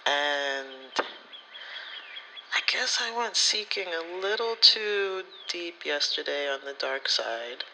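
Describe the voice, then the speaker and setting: A man's voice: fairly high pitch, animated, slow pace, bright, forceful tone, a little hiss or hum, rough recording. A man telling personal stories in a casual, candid, rambling way, with slangy, sweary phrasing. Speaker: spirituality content creator. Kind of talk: casual personal storytelling, ASMR vlog.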